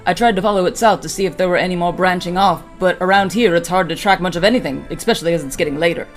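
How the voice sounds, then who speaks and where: A woman's voice: fairly low pitch, very fast, faint music behind, natural voice. An actress playing a steadfast orc warrior woman in a fantasy audio drama, formal and plain-spoken. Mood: thoughtful. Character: steadfast female orc warrior with mace and shield.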